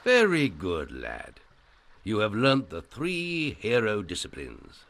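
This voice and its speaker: An actor playing an older, stern but kindly guildmaster in a fantasy video game. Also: male voice, lowish voice, wildly swooping pitch, slow pace, fairly bright tone, heavily acted. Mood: approving.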